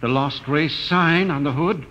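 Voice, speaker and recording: An actor playing an earnest, fascinated space-expedition scientist, thoughtful and explanatory, in old radio drama style. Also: male voice, on the high side, a little slow, sharp tone. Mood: puzzled, awed. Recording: dull, noisy radio recording with long reverb.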